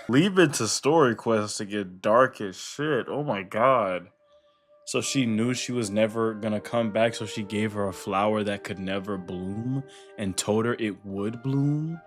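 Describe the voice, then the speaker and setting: A man's voice: lowish voice, measured pace. A young man giving casual, slangy, exclamatory reaction commentary as a gaming streamer. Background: faint game music.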